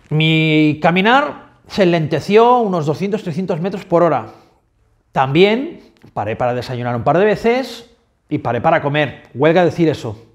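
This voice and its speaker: Man from Spain, pilgrim vlogger, slightly high voice, chatty reflective narration with direct asides to viewers. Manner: chatty, self-correcting, addresses viewers directly.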